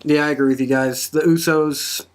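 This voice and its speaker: A man's voice: on the high side, steady tone, moderate pace. A man hosting a wrestling predictions podcast in a casual panel discussion.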